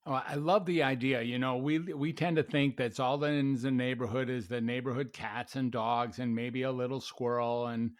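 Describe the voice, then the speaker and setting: A man hosts a relaxed interview in a middle-pitched voice. He talks in a loose, chatty way, restarting phrases and backing his guest with short affirmations. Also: moderate pace, few pauses. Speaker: podcast host and psychiatrist. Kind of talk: casual interview chat.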